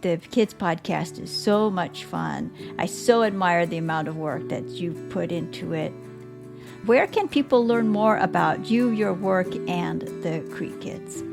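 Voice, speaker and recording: Female interviewer, medium pitch with vocal fry, conversational and appreciative, music under the voice. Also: a little slow. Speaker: podcast host and interviewer.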